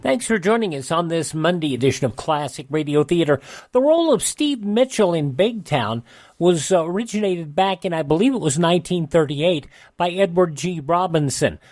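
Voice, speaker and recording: American female radio host, slightly low pitch, conversational presenting and ad reads. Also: lively intonation, normal speed, darkish tone. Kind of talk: conversational radio hosting and ad reading.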